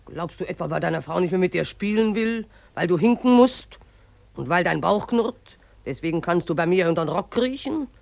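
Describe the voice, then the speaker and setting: An actress in a radio play voicing the river itself as a gruff, mocking old woman who scolds in an earthy, derisive way. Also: on the low side, lively intonation, normal speed, a little mellow, dry recording. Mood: stern, scolding. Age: older.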